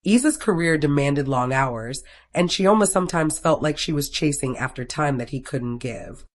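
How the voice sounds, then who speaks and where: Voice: medium pitch, animated, normal speed. Storyteller narrating a scripted romance tale.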